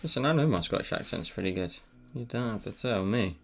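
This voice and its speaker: A man's voice: fairly low pitch, expressive, fairly quick, vocal fry. A man chatting casually with viewers while he teaches a live digital drawing session. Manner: chatty, reads and answers viewer messages. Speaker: digital art streamer. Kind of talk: casual live-stream tutorial chat. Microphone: headphones on, desk mic. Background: faint background music.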